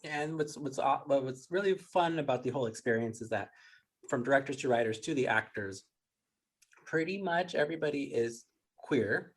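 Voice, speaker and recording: A man's voice: mid-range voice, expressive, moderate pace. A man hosting a casual interview, speaking in an informal, agreeable and encouraging way. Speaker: interviewer, workshop facilitator.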